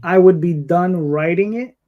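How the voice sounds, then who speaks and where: A man in his fifties with a fairly high-pitched voice, speaking casually as a comic creator being interviewed. He rambles through his thoughts in a loose, conversational way. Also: a little slow, narrow, tinny audio. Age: 50s.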